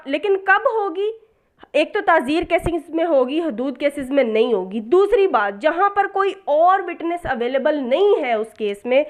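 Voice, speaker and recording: Pakistani lady teacher w high pitched voice, explaining stuff lecture style, drops english legal terms, repeats points. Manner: didactic, repeats key points for emphasis. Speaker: law tutor. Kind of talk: explanatory lecture with rhetorical questions.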